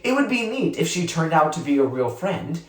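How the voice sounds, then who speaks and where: Expressive storybook reader in a roomy echo. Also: mid-range voice, normal speed. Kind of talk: expressive read-aloud narration of a children's novel.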